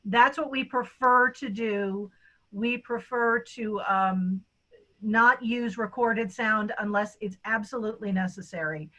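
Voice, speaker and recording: A woman's voice: mid-range voice, unhurried, pushed and bright, lo-fi sound. A woman chatting and explaining in a casual way as she hosts a theatre discussion over a video call. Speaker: radio theatre director hosting a post-show talk. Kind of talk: casual panel talk. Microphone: video call microphone.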